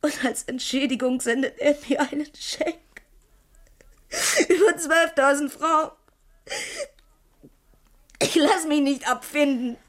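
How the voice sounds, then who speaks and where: An actress playing a proud, hurt jilted sweetheart, bitter and defiant, in a German radio crime drama. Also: female voice, high voice, takes it slowly, long pauses. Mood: bitter, indignant.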